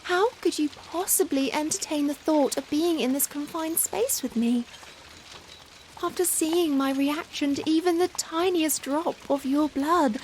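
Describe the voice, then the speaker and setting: A young Victorian vampire, played by a woman in an intimate ASMR roleplay. The voice is high and soft, moving very slowly through formal, hesitant phrases. The character is tender, anguished and full of self-reproach, with rain pattering behind. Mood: anguished, warning. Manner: hesitant, formal, emotional, pleading. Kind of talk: softly spoken ASMR roleplay acting. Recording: steady rain noise behind. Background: rain falling on a surface.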